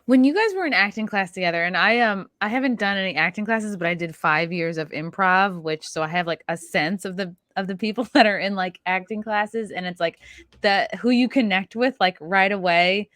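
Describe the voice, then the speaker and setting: A woman's voice: medium pitch, fairly quick, fairly bright tone, no echo. Giggly woman host, dry close sound. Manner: curious, giggly, rambling questions. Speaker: podcast host. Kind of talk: casual interview chat.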